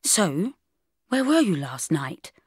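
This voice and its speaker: Lady doing a composed barrister bloke, deadpan and dryly teasing, dry close audiobook sound. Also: on the low side, takes it slowly, breathy edge, no room sound, exaggerated voice. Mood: questioning.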